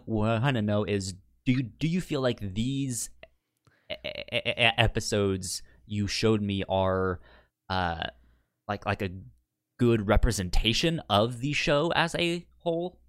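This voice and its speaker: A man's voice: lowish voice, a little slow, no echo, studio quality. A man co-hosting a casual chat show, who stutters and often repeats sounds and words as he talks. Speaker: podcast co-host. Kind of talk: casual chat about TV sitcoms.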